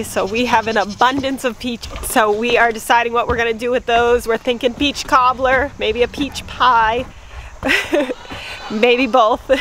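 A young woman with a fairly high voice chats casually through a farm vlog. She films herself outdoors on a phone held at arm's length, and a faint hiss sits under her voice. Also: fairly bright tone. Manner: chatty, light laughs, baby-talks to her dogs. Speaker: farm vlogger.

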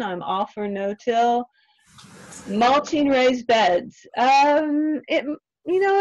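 A middle-aged woman, answering audience questions in a friendly, chatty, self-deprecating way. She is losing her voice and clears her throat now and then. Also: medium pitch, no echo. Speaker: extension weed scientist answering audience questions. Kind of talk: casual Q&A at end of webinar. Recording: dry, slightly dull webinar audio.

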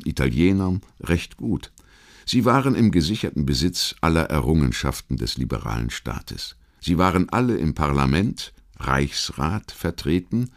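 Very deep-voiced male history narrator. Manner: measured, literary, rhetorical delivery. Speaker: audiobook narrator. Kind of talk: scripted documentary narration of a history book.

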